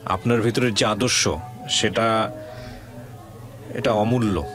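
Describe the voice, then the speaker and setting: A man with a Bangladeshi accent hosting a radio interview, asking questions and making reflective, emphatic remarks in a deliberate way. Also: on the low side, steady tone. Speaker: radio show host and interviewer.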